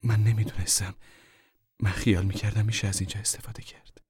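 A man's voice: on the low side, flat delivery, husky, no echo, in a whisper, studio quality. A man voicing a hard-working, anxious and bossy mother in an audiobook story, speaking in plain, urgent colloquial lines. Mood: apologetic, uneasy.

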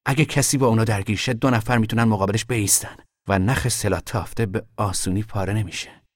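A man's voice: fairly low pitch, fairly even intonation, no echo, studio quality, close to an everyday voice. A man playing a stubborn young fugitive. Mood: reflective. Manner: earnest, quietly stubborn. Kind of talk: audio drama acting.

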